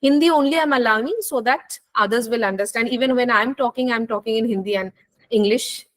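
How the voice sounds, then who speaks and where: Woman w running an online class, lots of uh and um, talks fast. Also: on the high side, fairly bright tone. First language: Bengali. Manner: switches between English and Hindi, many fillers. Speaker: nutrition instructor hosting an online class. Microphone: video call.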